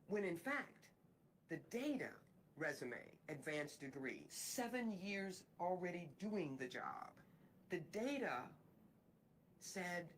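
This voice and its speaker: A woman recruiter with a fairly strong voice, telling a personal story in a reflective, halting way. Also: deep voice, a little hiss or hum, poor audio. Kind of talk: personal anecdote in a training video.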